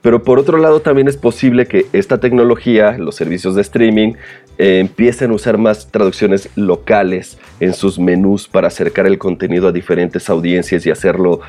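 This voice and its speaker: A man's voice: low voice, moderate pace, dark tone, soft background music. A man with a Mexican accent, speaking as a podcast host in a conversational, analytical and somewhat hesitant way. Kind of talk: conversational commentary with prepared points.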